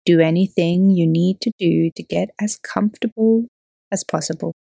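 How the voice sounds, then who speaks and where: A woman yoga teacher leading a guided relaxation in a soothing, unhurried, gently instructing way. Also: on the low side, vocal fry, husky, no room sound, studio quality. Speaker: yoga teacher and podcast host. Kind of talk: guided relaxation meditation narration.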